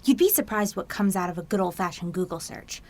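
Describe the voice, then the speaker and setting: Cheeky, lively nerdy teen girl. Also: lowish voice, a little fast. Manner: flippant, teasing, slangy. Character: cheeky, boastful nerdy teenage girl best friend. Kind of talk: audio drama banter.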